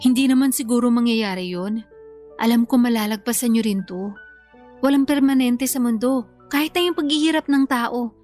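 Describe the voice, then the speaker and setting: A woman's voice: fairly high pitch, moderate pace, darkish tone, faint music behind, close to an everyday voice. A woman playing a sensible, sympathetic friend who reasons and gives frank advice in a Filipino radio-style drama. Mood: reassuring. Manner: reasoning, advising.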